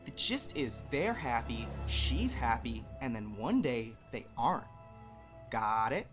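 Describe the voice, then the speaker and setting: Dramatic story narrator, wry, theatrical, mid pitch, prominent music bed. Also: very animated, takes it slowly. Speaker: narrator and author reading own story. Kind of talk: dramatic scripted narration with profanity.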